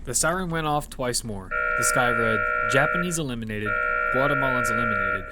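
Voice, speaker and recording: A man's voice: mid-range voice, animated, fairly quick, very noisy, natural voice. A man narrating an audio fiction survival story in character, as one who tells of a battle. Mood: storytelling.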